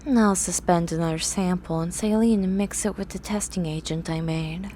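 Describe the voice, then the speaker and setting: A woman's voice: lowish voice, steady tone, moderate pace, warm tone, a little hiss or hum, natural voice. A woman voicing a grumpy, obsessive young horse-girl scientist in an ASMR roleplay, talking in a precise, scholarly, bossy way. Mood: focused, pleased.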